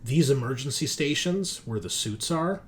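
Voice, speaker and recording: A man's voice: mid-range voice, animated, normal speed, a little hiss or hum. A man casually narrating and explaining a tabletop game as game master, in an informal way.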